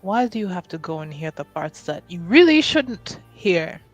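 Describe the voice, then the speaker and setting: A woman playing a composed, fondly teasing noblewoman in a tabletop game, speaking in a measured, dry way. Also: lowish voice, big pitch swings, faint music behind. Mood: gently chiding. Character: composed, gently teasing noblewoman, fond elder confidante.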